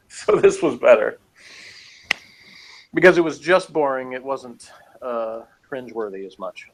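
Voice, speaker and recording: A man's voice: mid-range voice, a little slow, pauses often, rough recording. A man chatting casually as a reviewer, giving his opinions on an episode in a rambling way.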